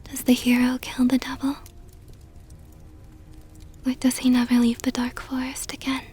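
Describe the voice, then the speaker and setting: A woman voicing a possessive, doting vampire countess in an intimate ASMR roleplay, whispering softly and slowly with a tender, teasing, coaxing manner. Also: high voice, completely flat delivery, faint music behind. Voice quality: breathy, soft whisper. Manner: slow, coaxing, affectionate murmur.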